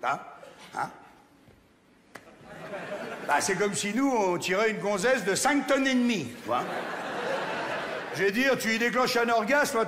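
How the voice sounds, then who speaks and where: A man with a Champagne accent doing a crude, animated stand-up routine on a large theatre stage, talking straight to the audience. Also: high-pitched, background noise. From France, Champagne region. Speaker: stand-up comedian. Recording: live theatre with long reverb and crowd.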